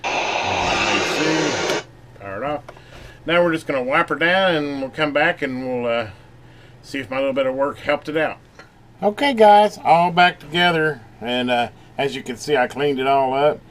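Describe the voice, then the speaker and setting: A man giving a casual hands-on repair demonstration, folksy and unhurried as he talks while working. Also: mid-range voice, expressive, a bit bright. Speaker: channel host doing electronics repair. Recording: noisy room, clearly audible background noise.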